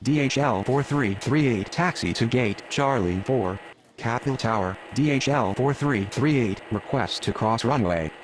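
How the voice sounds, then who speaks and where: A man's voice: medium pitch, moderate pace. A man speaking clipped, procedural air traffic radio phrases in a game.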